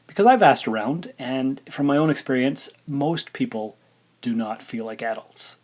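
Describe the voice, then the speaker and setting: A man's voice: mid-range voice, lively intonation, moderate pace, a little mellow. A man hosting his podcast, talking in a casual, rambling way with fillers. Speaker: podcast host. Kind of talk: casual solo podcast chat.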